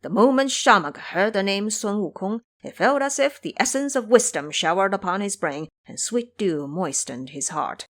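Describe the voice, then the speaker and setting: A woman tells an audio drama story at a middle pitch. Her delivery is expressive and very lively, rising and falling as the tale moves. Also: normal speed, hardly any pauses. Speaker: narrator.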